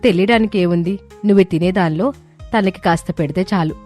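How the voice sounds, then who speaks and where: A woman's voice: lowish voice, brisk, a little mellow, voice pushed down, close to an everyday voice. A woman narrator puts on a concerned townswoman in a storybook tale. She is plaintive and persuasive, an everyday motherly figure speaking over music. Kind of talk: storybook dialogue read by narrator.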